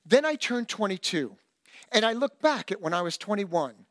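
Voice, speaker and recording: Animated high-voiced male pastor preaching. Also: normal speed, dry recording, studio quality. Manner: animated and emphatic, with self-deprecating humor. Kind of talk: sermon.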